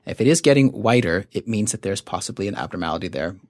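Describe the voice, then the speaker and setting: A man with a fairly low voice speaks as an expert guest in a medical interview. He explains methodically and didactically in measured phrases, and the sound is dry with little room echo. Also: expressive, darkish tone.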